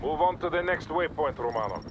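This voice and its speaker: A man's voice: on the high side, lively intonation, fairly quick, lightly acted. Man acting a stern Russian colonel amid battle noise. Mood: firm, commanding. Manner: commanding, clipped orders. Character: stern, weary Soviet colonel commanding troops. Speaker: military commander in a game. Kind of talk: scripted video game cutscene acting. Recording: loud battlefield noise behind.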